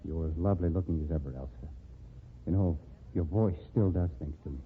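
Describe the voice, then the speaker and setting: A man's voice: low voice, fairly quick, slight background noise, lightly acted. An actor playing a wary, bitter man who came back from the dead, in an old radio drama. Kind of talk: 1940s radio drama acting. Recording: tinny lo-fi old radio broadcast.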